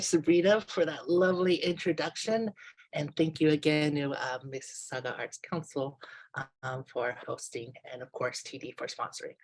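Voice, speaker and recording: A man from Canada, presenting an online workshop in an upbeat, chatty way with lots of filler words over a video call. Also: on the high side. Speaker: webinar presenter. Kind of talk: friendly online workshop talk.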